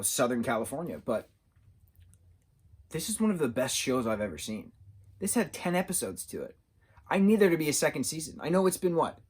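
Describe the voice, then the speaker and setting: A man of about thirty giving a casual, unscripted TV review, rambling and halting as he searches for his words. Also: medium pitch. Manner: rambling, halting, loses his train of thought. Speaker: TV show reviewer.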